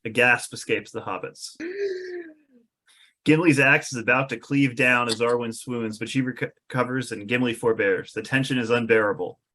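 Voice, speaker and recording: Man narrating a fantasy screenplay, medium pitch. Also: fairly even intonation, normal speed, pushed and bright. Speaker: narrator of stage directions.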